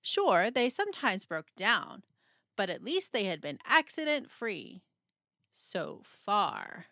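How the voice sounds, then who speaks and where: Woman, children's read-aloud narrator, bright voice, highly animated pitch, dramatic delivery, suspenseful pauses. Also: dry recording. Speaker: storybook narrator.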